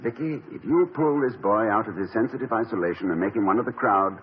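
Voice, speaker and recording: An actor playing a genteel, pedantic middle-aged college president who hesitates and stammers, in 1950s radio comedy style. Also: male voice, lowish voice, fast talker, background noise, natural voice. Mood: wry. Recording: dull lo-fi 1950 radio broadcast.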